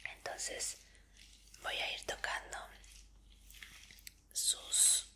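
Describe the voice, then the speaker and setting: A woman doing a whispered ASMR medical roleplay in a breathy voice, speaking politely and reassuringly as an examiner. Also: drawn-out words, bright, forceful tone.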